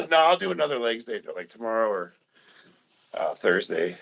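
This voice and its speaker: A congested man in his fifties, short of breath, chatting casually on a livestream, swearing and often clearing his throat. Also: medium pitch, very animated, normal speed, a bit bright, a little hiss or hum, low-quality recording. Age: 50s. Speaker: livestreaming powerlifter.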